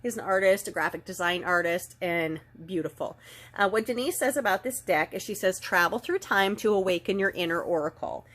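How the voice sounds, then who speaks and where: A middle-aged woman with a slightly stuffed-up, nasal voice, gently and earnestly giving an oracle card reading and reading passages aloud. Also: medium pitch, a little fast. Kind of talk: casual spiritual card reading.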